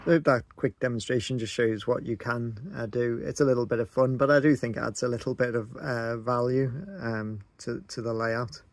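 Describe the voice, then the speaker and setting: A man's voice: medium pitch, steady tone, moderate pace, hardly any pauses. Man narrating casually over faint noise. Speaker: video host, model railway hobbyist.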